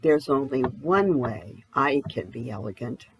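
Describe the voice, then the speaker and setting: A woman's voice: rumbling low, expressive, a little slow, vocal fry, slight background noise, pitched below the performer's own voice, heavily acted, poor audio. A woman voicing a friendly, eager young boy elephant for a children's storybook, exclaiming dramatically. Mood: content. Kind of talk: children's storybook read-aloud.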